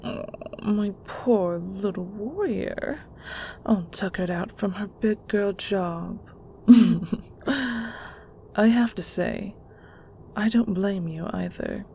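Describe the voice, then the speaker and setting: A woman playing a controlling, middle-aged mother in an audio roleplay, with condescending sweetness and a patronizing, deliberate delivery. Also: medium pitch, gravelly, warm tone, a little hiss or hum. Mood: mock sympathy. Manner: patronizing, slow, deliberate interrogation.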